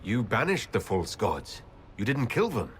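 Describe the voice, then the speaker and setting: A man voicing a serious, thoughtful male elven leader in a video game, with an earnest, deliberate, probing manner. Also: on the low side, big pitch swings, a bit bright, slight background noise. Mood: questioning, concerned. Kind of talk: dramatic video game dialogue.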